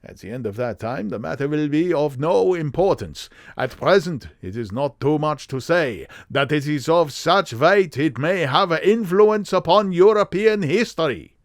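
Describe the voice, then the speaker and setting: A man's voice: animated, unhurried, few pauses, no echo, clean studio sound. A man voicing an imperious, secretive Bohemian count with a harsh voice and a German accent, formal and emphatic, in an audiobook. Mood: grave, secretive. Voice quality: deep, harsh.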